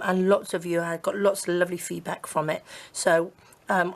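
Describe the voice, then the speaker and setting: A woman with a croaky, hoarse throat hosting a casual crafting tutorial, chatty and gushing with frequent fillers. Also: on the low side. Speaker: crafting channel host.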